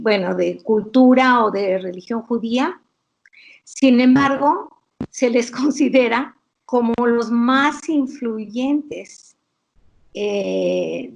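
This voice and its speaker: Slow, higher-pitched woman explaining. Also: vocal fry, low-quality recording. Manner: didactic, deliberate, hesitant pauses. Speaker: academic expert guest. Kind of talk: explanatory interview analysis.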